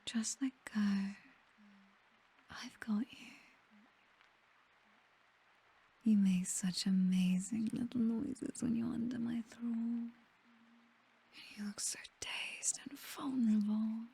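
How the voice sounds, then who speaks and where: A woman acts out a seductive British vampire mistress for an ASMR roleplay. Her voice is a very breathy, dark whisper, unhurried and hypnotic. She is possessive and commanding, yet tender as she coaxes. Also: a little high, fairly even intonation, heavily acted. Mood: tender, soothing. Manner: slow, coaxing, hypnotic, teasingly commanding.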